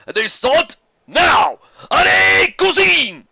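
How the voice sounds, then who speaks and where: Reader doing a high loud female pony announcer, lively, shouting, dull dry audio. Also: slow, vocal fry, no echo, full character voice. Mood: rousing. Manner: calling out results.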